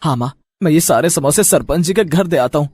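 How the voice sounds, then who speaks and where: An earnest young village lad acted by a man in a cartoon tale, dutiful and eager. His voice is high for a male, with faint music behind it. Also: fairly quick, a little mellow, slightly rough. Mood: amazed. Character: hardworking, dutiful young samosa-maker son, eager and polite. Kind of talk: cartoon story dialogue.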